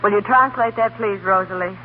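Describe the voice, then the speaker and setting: An actress playing a dry, crisp young schoolteacher in a 1930s radio drama, restrained and sensible. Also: female voice, medium pitch, a little fast, close to an everyday voice. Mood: stern, dry. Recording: tinny, noisy 1930s radio broadcast.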